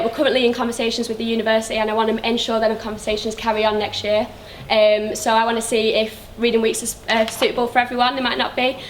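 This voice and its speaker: A woman's voice: on the high side, slight background noise. A young woman giving an upbeat campaign speech as a student union officer. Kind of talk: hustings speech.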